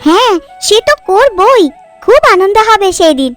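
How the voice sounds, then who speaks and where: A woman voicing a caring, practical village housewife in a cartoon, homely and gently fussing. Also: very high voice, animated, normal speed, soft background music. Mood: concerned, caring. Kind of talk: cartoon story dialogue.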